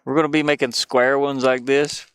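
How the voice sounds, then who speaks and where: A man chatting casually on a livestream, rambling and halting, often putting down his own efforts. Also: medium pitch, little pitch movement, a bit bright, smooth. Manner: halting, rambling, self-deprecating. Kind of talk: casual livestream chat while sketching.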